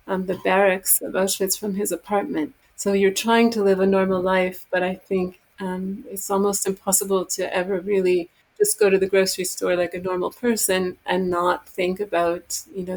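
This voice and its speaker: A woman, co-author of a book, giving a measured, reflective answer in an interview. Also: mid-range voice, gravelly, darkish tone, low-quality recording. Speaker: guest, co-author.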